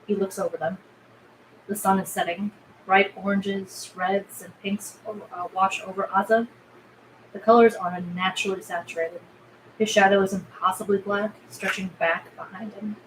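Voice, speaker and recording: A woman's voice: mid-range voice, fairly even intonation, moderate pace, poor audio. A woman narrator reading screenplay stage directions aloud at a table read. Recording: faint background noise.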